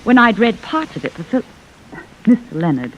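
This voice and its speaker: A woman's voice: a little high, sing-song, normal speed, dull audio. A woman playing a self-assured young actress in a 1950s radio drama, coy and insinuating with pointed hesitations. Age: young adult. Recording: thin noisy vintage radio recording.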